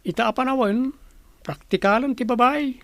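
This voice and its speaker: A woman's voice: on the high side, moderate pace, dry recording, natural voice. A woman with an Ilocano accent acting a gossipy household worker in a radio comedy drama. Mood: matter-of-fact, gossipy. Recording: noisy track with steady hum.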